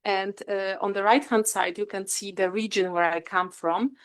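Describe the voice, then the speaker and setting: A female researcher with a Polish accent delivers an academic presentation in English, which is not her native language. Her voice sits at an average pitch for a woman. She proceeds hesitantly, often pausing and rewording herself. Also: steady tone, smooth. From Northeast Poland. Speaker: academic researcher, guest speaker.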